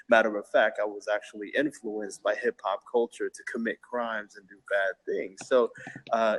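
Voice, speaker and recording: A fairly low-voiced man talks over a video call as a guest on a live online show. He speaks in an energetic, emphatic motivational style, stumbling and restarting now and then as he builds his point. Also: poor audio. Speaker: guest, inspirational hip hop artist.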